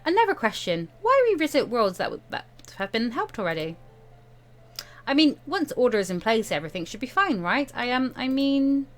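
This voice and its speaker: Woman putting on a young female student, game character, higher pitch, flippant, bored, hesitant, whiny, music behind. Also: sing-song, normal speed. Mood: hesitant, questioning.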